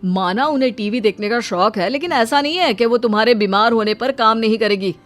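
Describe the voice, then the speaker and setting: A woman's voice: on the high side, brisk, fairly bright tone, faint music behind, natural voice. A woman voicing an easygoing young husband and loyal son in a casual, matter-of-fact way. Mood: reassuring.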